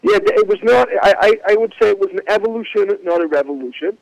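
A man, a rabbi talking about his life as a guest on a casual podcast, reflective and often pausing with "uh, you know.". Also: sits high, expressive, gravelly, low-quality recording. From Manchester, England.

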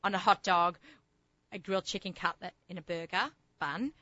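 A woman's voice: lowish voice, fairly even intonation, normal speed, pushed and bright, no echo, muffled recording. A middle-aged woman with an Australian accent, hosting a food show in a chatty, enthusiastic, rambling way. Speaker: host of a food talk show. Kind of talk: casual chatty radio interview. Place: studio.

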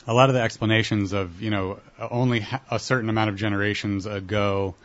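Young male guest, low voice, halting delivery, muffled lo-fi audio. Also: steady tone. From Columbus, Ohio. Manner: hesitant, many uh fillers. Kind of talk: casual interview answers. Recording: dull lo-fi broadcast audio.

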